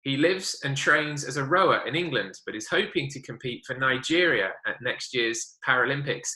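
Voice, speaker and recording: Bright-voiced male interviewer in an echoey room. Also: medium pitch, lively intonation, normal speed. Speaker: interviewer, broadcaster. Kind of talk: interview introduction and questions.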